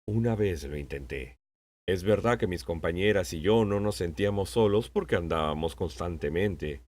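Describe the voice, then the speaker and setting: A man acting a deep-voiced wise old king. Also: moderate pace, darkish tone. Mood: patient, explaining. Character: wise, patient old king, gentle mentor. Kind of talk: audiobook character acting.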